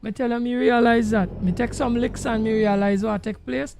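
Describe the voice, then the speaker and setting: A Jamaican man streams casually over some engine noise. His voice sits high for a man yet is full of gravel, and he talks straight to viewers, repeating himself for emphasis. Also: steady tone, moderate pace, darkish tone. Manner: emphatic, repetitive, addresses viewers directly. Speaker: game livestreamer. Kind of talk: casual livestream chat while driving a truck sim. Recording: noisy, engine rumble behind voice. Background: truck engine sounds from the game.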